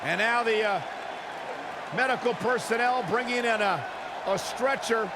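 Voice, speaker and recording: A man playing an outraged, excitable wrestling play-by-play announcer in a video game, with dramatic, emphatic delivery. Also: very high voice, normal speed. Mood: grave. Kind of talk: sports commentary. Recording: loud arena crowd noise and slams.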